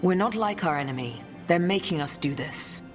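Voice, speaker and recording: An actress playing a grave, weary noblewoman leader in a fantasy video game, speaking in a measured, solemn way. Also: on the low side, lively intonation, close to an everyday voice. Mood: grave, reflective. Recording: long reverb, music behind.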